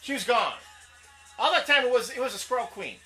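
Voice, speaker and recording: A man's voice: very high-pitched, lively intonation, brisk, pushed and bright, soft background music. A man co-hosting a casual comic book talk show, rambling and restarting as he defends his opinion. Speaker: co-host.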